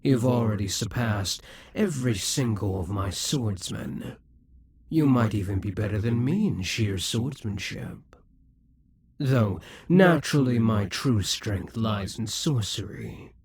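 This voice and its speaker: A man voicing a possessive, scheming demon king in an audio roleplay, speaking slowly and condescendingly. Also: on the low side, expressive, a little mellow, breathy edge, no echo, heavily acted. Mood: brooding. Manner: condescending, slow and musing.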